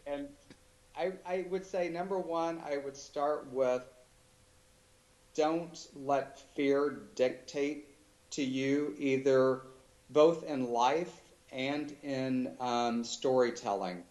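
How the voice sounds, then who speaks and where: Thoughtful, halting man in an interview. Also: medium pitch, a little mellow, poor audio. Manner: thoughtful, halting with many restarts and fillers. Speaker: author guest. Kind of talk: casual interview chat.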